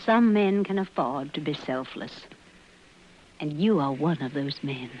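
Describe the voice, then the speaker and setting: An actress playing a flirtatious, gracious hostess in a 1950s radio western, speaking softly and coyly with a breathy touch. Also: female voice, low voice, animated, measured pace. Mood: wistful, admiring. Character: flirtatious, gracious lady hostess courting the town doctor. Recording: muffled vintage radio recording, faint hiss.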